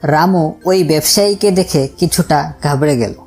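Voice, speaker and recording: A woman's voice: deep voice, normal speed, darkish tone. A woman reads a scripted moral story as its narrator, with music behind her. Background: background music.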